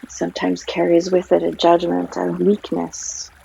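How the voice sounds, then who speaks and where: A woman leading a guided meditation as a yoga guide, speaking gently with long pauses, in a breathy, creaky voice. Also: fairly low pitch, monotone. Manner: gentle, unhurried, long pauses between phrases. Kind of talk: guided meditation, soothing narration. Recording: slightly dull sound, trickling stream water behind. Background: flowing stream water.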